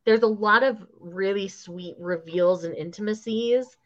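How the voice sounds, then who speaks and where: A woman co-hosting a casual book podcast, talking with enthusiasm, laughing at herself and trailing off softly at the ends of thoughts. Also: mid-range voice, unhurried. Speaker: podcast co-host. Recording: slightly dull lo-fi sound.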